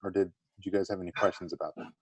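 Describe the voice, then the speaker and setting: A man's voice: low voice, steady tone, very fast, darkish tone, breathy edge, no echo. A man teacher leading a small online class discussion, encouraging students and checking in often. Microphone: video call.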